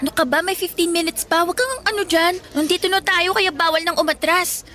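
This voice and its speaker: High-pitched, bossy Filipina schoolgirl. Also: fairly quick, sharp tone. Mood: pushy, excited. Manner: brash, teasing, insistent. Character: bossy, teasing high school girl, pushy best friend. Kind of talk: Tagalog radio drama acting. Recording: faint music bed.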